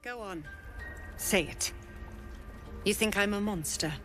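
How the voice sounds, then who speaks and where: An actress playing a ruthless, imperious female druid leader in a fantasy game, with measured, cutting delivery. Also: mid-range voice, fairly bright tone. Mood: bitter, challenging. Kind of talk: cinematic fantasy game acting. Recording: music behind the voice.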